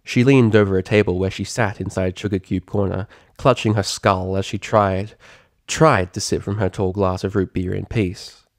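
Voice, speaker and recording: A man reading a story aloud as narrator, in an expressive, theatrical storytelling manner. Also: low voice, normal speed, no echo, clean studio sound. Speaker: fan fiction reader, narrator.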